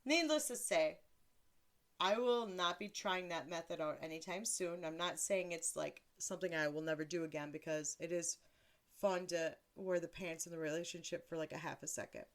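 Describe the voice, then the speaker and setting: A woman in her thirties with a deeper voice, hosting a casual solo podcast in a blunt, crude, self-mocking way and laughing often. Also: normal speed. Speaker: podcast host.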